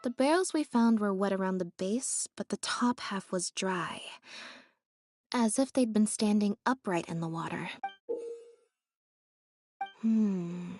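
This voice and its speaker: A woman's voice: medium pitch, takes it slowly, long pauses, a little mellow, dry recording. An actress playing a reserved, observant young female investigator in a video game, speaking in an understated, thoughtful, matter-of-fact way. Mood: pensive. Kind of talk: scripted video game voice acting.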